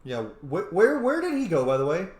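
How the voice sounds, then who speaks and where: A man's voice: high voice, huge pitch swings, brisk, a little mellow. A man hosting a let's play, commenting casually with joking, opinionated, sweary asides. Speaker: YouTuber, gaming host. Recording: roomy echo, game sound behind. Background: faint game ambience.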